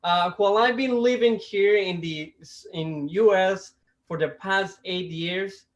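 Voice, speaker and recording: A man from Costa Rica with a Costa Rican Spanish accent, doing a stand-up comedy set over a video call, with a deliberate delivery that stops and restarts. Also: high-pitched, muffled recording. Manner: deliberate, with restarts and self-amused laughs. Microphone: video call mic.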